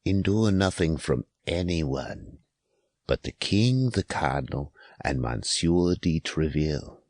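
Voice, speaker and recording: An actor playing a stern father giving solemn, deliberate counsel in a dramatic audiobook. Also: rumbling low, expressive, darkish tone, dry recording, full character voice.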